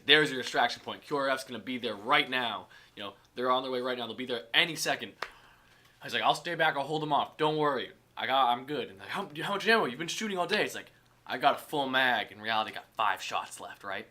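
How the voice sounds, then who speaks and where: A young man co-hosting a casual podcast with friends, telling a long animated story and laughing often. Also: medium pitch, fast. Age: young adult. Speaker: podcast co-host. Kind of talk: casual chat among friends.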